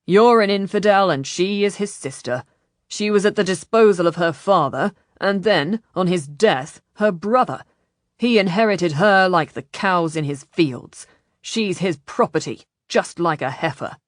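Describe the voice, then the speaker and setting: A stern, businesslike commander of a galley, played by a woman narrator, speaks in flat, curt orders. The voice is slightly low and forceful in intent, recorded close and dry for a storybook. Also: a little slow, no room sound. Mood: flat, commanding.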